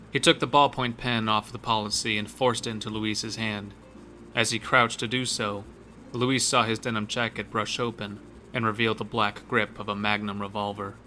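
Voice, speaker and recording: Low, forceful male horror narrator. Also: a little hiss or hum. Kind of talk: scripted horror story narration.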